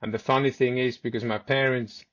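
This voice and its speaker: A man's voice: mid-range voice, steady tone, fairly quick, fairly bright tone, no room sound, highs cut off. A man in his forties, raised in South Africa and a native Swiss German speaker, telling stories as a podcast guest, rambling with many restarts. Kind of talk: casual storytelling.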